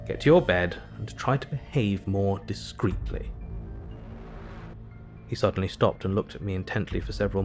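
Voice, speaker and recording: An actor playing a stern, measured asylum doctor narrating his account formally in an audio drama. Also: on the low side, natural voice. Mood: stern, clinical.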